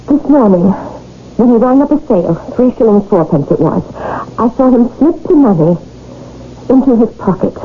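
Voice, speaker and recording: An actress playing a bossy, suspicious shopkeeper's wife in a 1970s radio drama, insistent and accusing. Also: female voice, a little high, animated, normal speed, soft background music, natural voice. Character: suspicious, sharp-eyed London shopkeeper's wife, bossy and indignant. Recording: tinny, narrow-band old radio broadcast.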